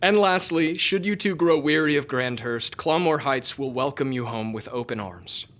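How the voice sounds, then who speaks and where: An actor playing a cheeky, grandiose young aristocrat in an audio drama, speaking in a wry, declamatory way. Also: male voice, mid-range voice, normal speed, a bit bright, natural voice. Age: young adult.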